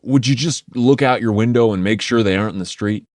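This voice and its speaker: A man voicing a frantic man shouting an urgent warning to his family, swearing as he goes. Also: deep voice, moderate pace, dry recording, studio quality. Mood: frantic, yelling. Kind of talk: audiobook character voice.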